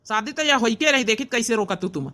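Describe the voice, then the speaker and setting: Woman playing cartoon village brother, high sharp voice, Awadhi accent, outspoken, protective, argumentative. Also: expressive, brisk. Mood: reproachful. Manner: argumentative, reproachful. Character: outspoken, protective grown son and elder brother, village man. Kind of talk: cartoon comedy dialogue.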